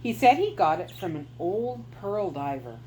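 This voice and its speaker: A woman's voice: lowish voice, sing-song, measured pace, a little mellow, slight background noise, low-quality recording. A woman doing a shrewd old trading lady. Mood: wry.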